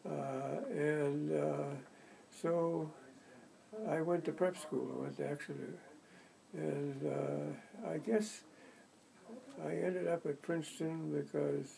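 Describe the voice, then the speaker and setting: An older man from the Boston area being interviewed for an oral history, talking in a halting, rambling way with many restarts and asides, with a low voice. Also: steady tone, vocal fry, dark tone, rough recording. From United States. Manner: rambling, halting, full of restarts and "you see".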